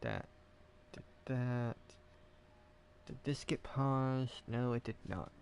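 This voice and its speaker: A man's voice: mid-range voice, slow, a little mellow, slight background noise. A man doing casual gaming stream commentary, rambling and thinking aloud. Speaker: game streamer.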